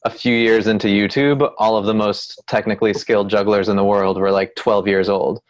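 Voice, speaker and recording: A man's voice: fairly low pitch, little pitch movement, a little fast. A man in his early thirties, a juggler, chatting reflectively on a casual group video call. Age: 30s.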